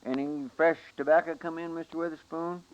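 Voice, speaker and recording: A man's voice: on the high side, level tone, fairly quick, dull audio, background noise, lightly acted. Folksy, deferential sidekick played by a man. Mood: sheepish. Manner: hesitant, respectful. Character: folksy, deferential marshal's helper, hapless and eager. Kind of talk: 1950s radio western acting.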